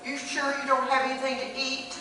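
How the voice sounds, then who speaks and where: A woman as a high-voiced, sly hungry stray cat. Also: completely flat delivery, a little fast, lightly acted. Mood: wry. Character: hungry, neglected talking stray cat, coolly accusing and sly. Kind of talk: radio play acting. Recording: echoey hall from far off, faint hum.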